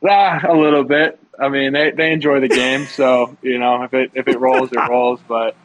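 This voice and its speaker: A man's voice: medium pitch, level tone, moderate pace, low-quality recording. A man in his twenties, a college football player, chatting easily in an interview with many fillers. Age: 20s. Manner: easygoing, rambling, filler-heavy. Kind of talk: casual interview.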